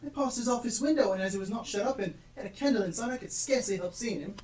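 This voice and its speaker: A woman playing a gentle spouse sharing news in a live stage play, with measured theatrical delivery. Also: a little high, natural voice. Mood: wistful. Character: spouse gently reporting news of an old friend. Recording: echoey stage, distant mic, faint noise.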